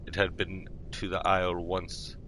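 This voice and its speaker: A man reading fantasy lore aloud in a measured, formal manner, correcting himself politely, as part of a roleplay. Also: sits low, flat delivery, fairly bright tone, a little hiss or hum, rough recording. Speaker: live roleplay streamer.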